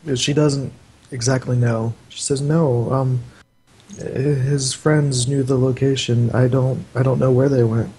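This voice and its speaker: Flat, mellow-voiced man running the game. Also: medium pitch, a little slow, breathy edge, low-quality recording. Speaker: game master. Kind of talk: narrating a tabletop game over voice chat.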